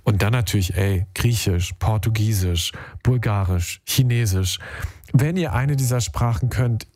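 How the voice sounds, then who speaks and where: A man's voice: on the low side, a little slow, a little mellow, dry recording. A man hosting a radio call-in show, chatting casually in slangy, informal language.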